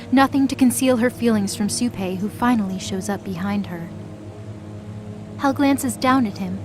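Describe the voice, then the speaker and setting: A woman giving scripted, dramatic narration as the storyteller of an audio drama. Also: medium pitch.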